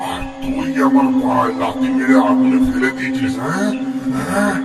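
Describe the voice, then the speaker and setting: A man voicing a vengeful, menacing village tantric sorcerer in a comedy cartoon, with drawn-out eerie, taunting delivery. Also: very high voice, sing-song. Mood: menacing taunts. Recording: echoey, distant voice under loud music.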